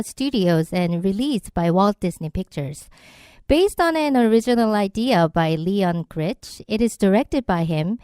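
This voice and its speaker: Korean woman host, 30s, mid pitch, dry close recording. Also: clean tone, dry recording. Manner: friendly, relaxed, laughs often. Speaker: podcast host. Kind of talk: casual podcast chat.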